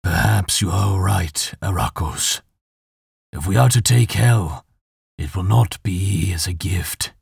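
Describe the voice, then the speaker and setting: Man voicing a slow, raspy demon lord. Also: low-pitched, dry recording, clean studio sound, heavily acted. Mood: brooding menace. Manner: slow, deliberate, ominous. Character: smooth, raspy male demon lord, slow, menacing, mocking. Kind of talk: audiobook character voice.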